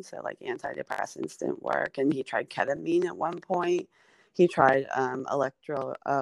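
Rambling creaky-voiced podcast guest. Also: medium pitch, no room sound. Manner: rambling, filler-heavy, chatty. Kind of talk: casual chat.

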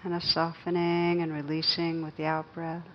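A woman teaching guided meditation, speaking gently and unhurriedly with long pauses between phrases. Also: sits low, completely flat delivery, lo-fi sound, slight background noise.

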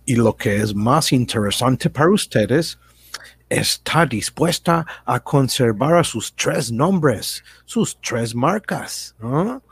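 A man's voice: medium pitch, slightly rough, no room sound, full character voice. A man playing a breezy, pushy American businessman in a radio drama, wheedling in Spanish peppered with English.

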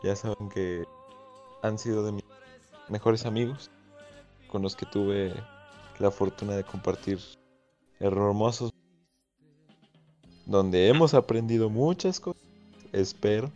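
Brief: young guest, low voice, measured and formal, dull lo-fi sound. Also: male voice, dark tone, soft background music. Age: 20s. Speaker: podcast guest. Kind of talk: casual chat among friends. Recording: dull lo-fi audio.

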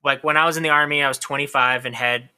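Author guest in his thirties; sharp, bright voice; open and talkative. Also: medium pitch, fairly even intonation, fast, smooth. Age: 30s. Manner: candid, talkative, lots of "like" fillers. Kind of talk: casual interview chat.

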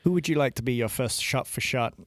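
A man's voice: medium pitch, brisk, no echo, clean studio sound. A man from Britain co-hosting a podcast in casual chat, blunt and swearing freely. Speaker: podcast co-host.

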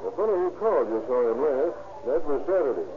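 An actor playing a terse, matter-of-fact police detective in a 1930s radio crime drama. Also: male voice, fairly high pitch, very animated, fast. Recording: tinny, hissy old radio recording.

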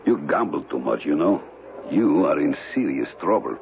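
Shady antiquities smuggler, very deep swooping voice, worldly and deliberate, old radio drama. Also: male voice, big pitch swings, exaggerated voice. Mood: guarded, menacing. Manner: deliberate, worldly, formal phrasing with dramatic pauses. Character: shady, calculating male smuggler of stolen antiquities. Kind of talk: old-time radio mystery acting. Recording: muffled, noisy old radio broadcast recording.

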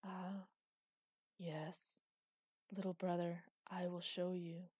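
A woman voicing an ancient male cosmic titan who speaks slowly, partly in a whisper. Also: fairly low pitch, little pitch movement, a little mellow, husky, dry recording, clean studio sound. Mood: cold, curious. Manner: slow, drawn-out, partly whispered.

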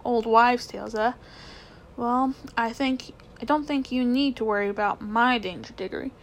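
A woman's voice: on the high side, steady tone, moderate pace, natural voice. A woman voicing a pompous, scheming elderly male magician with a haughty, lecturing manner in a storybook reading. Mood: condescending. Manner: haughty, lecturing, self-important.

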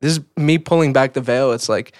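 A man's voice: medium pitch, brisk, clean tone, no echo, clean studio sound. A man co-hosting a podcast, chatting casually and candidly with a wry, self-deprecating manner. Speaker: podcast co-host.

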